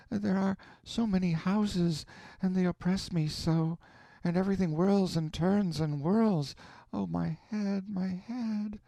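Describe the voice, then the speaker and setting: A man's voice: high voice, creaky, dark tone, no room sound, pitched above the performer's own voice. A man voicing a feverish, delirious young girl who pleads for her mother in distress.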